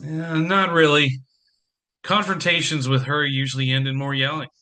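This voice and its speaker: Guy acting anxious divorcing dad in an audio drama, sharp highish voice, wry and self-deprecating. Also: steady tone, normal speed. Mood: wounded. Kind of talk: community theatre audio play acting.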